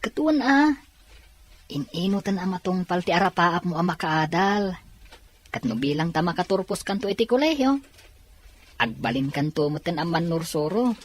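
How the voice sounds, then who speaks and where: A woman's voice: on the low side, lively intonation, moderate pace. A woman playing a young, supportive school student in an audio drama. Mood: encouraging. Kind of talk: audio drama dialogue in Ilocano.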